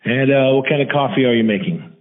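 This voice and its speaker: A man hosting a casual radio interview, asking questions in a laid-back, halting way. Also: mid-range voice. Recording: thin phone-like audio.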